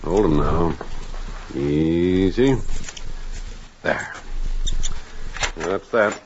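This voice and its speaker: An actor playing a calm, methodical research doctor with a deliberate manner, in 1950s radio drama style. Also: male voice, low voice. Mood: focused. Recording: dull, hissy 1950s radio recording.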